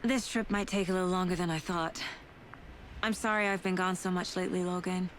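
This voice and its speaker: Over clear background noise, an actress as a composed female investigator speaks in a lowish voice. Her delivery is gentle and natural, like dialogue in a cinematic game. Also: fairly even intonation, a little fast, close to an everyday voice. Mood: affectionate. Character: composed adult female federal investigator and affectionate mother. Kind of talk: naturalistic video game acting. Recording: noisy ambience behind the voice.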